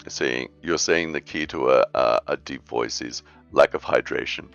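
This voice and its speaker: A man chatting casually on a live stream, calmly explaining things in plain terms. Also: very deep voice, moderate pace, vocal fry, clean tone, highs cut off, soft background music. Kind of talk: casual chat.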